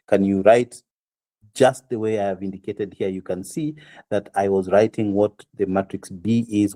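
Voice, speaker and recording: A man with a very low, dark, mellow voice lectures in a classroom. He explains calmly and methodically, pausing often with "okay" and "uh." His voice sounds slightly hoarse. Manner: measured, explains step by step with frequent "okay" and "uh". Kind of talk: university lecture.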